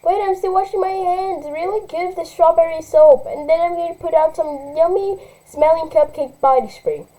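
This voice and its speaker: A woman's voice: very high voice, steady tone, normal speed, hardly any pauses, mellow, pitched above the performer's own voice, poor audio. A woman voicing a scared, whiny little girl who panics and wants her daddy. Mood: chirpy. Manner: whining, panicky.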